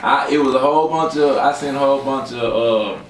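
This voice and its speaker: A man's voice: mid-range voice, a little fast, a little hiss or hum. A man with a Southern US accent hosting a casual radio interview, who often stammers and restarts his sentences. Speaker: radio host. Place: small radio studio. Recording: echoey room, distant mic.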